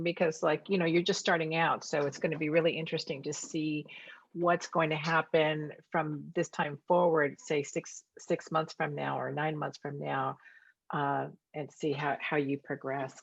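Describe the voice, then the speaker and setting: Low husky woman hosting a video call, encouraging, asks questions, dry dull sound. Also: steady tone, unhurried, dry recording. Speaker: host of a testimonial call. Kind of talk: casual video call interview.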